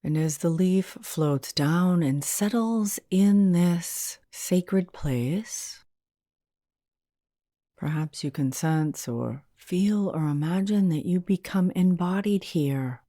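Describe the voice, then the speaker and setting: Low, mellow woman guiding meditation. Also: no room sound, studio quality. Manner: soothing, unhurried, gentle. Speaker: hypnotherapist, meditation guide. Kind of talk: guided meditation narration.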